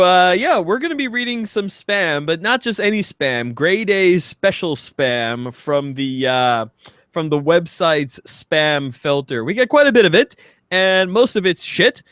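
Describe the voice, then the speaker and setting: A man's voice: sits high, animated, a little slow, fairly bright tone, smooth, dry recording, clean studio sound. A man hosting a comedy podcast, bantering casually and teasingly and reading things out for laughs. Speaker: podcast host.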